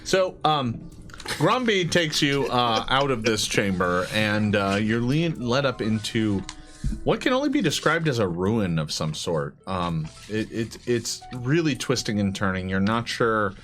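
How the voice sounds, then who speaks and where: Low-voiced man narrating a tabletop game. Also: very animated, fairly bright tone, faint music behind. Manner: halting, thinking aloud as he describes. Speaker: game master.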